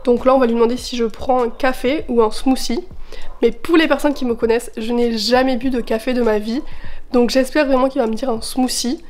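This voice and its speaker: A young woman in her twenties talks casually to her viewers from home and her terrace. Her voice is a touch high and sounds worn from a lingering chest cold. She keeps things upbeat and chatty, with plenty of fillers. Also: fairly even intonation, a little fast, darkish tone. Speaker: vlogger. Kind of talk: casual vlog chat.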